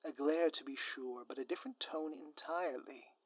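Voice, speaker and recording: A scheming male supervillain played by a man in an audio roleplay, speaking in a fairly high voice. He is pompous and melodramatic, relishing florid phrases with theatrical grandeur. The sound is very dry, with no room around it. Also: normal speed, no echo, lightly acted. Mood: theatrical, taunting. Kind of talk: scripted audio roleplay monologue.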